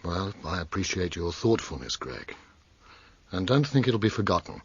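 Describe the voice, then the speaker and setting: An actor playing a successful, influential British friend in a radio drama, speaking frankly and conversationally with some hesitation. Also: male voice, deep voice, very animated, moderate pace, lo-fi sound, a little hiss or hum. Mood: grateful. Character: successful married man, candid, influential old friend.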